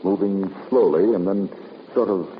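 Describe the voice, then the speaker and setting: Fussy, evasive male witness for vintage radio drama; lowish, animated, halting, muffled old recording. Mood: hesitant, evasive. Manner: hesitant, halting. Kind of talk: 1950s radio drama acting. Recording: muffled noisy 1950s radio, long reverb.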